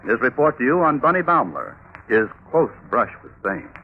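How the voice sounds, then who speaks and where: An actor playing a wry crime chronicler, narrating in a dry, deliberate way as host of an old radio drama. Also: male voice, medium pitch, very animated. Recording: old narrowband radio recording, music behind.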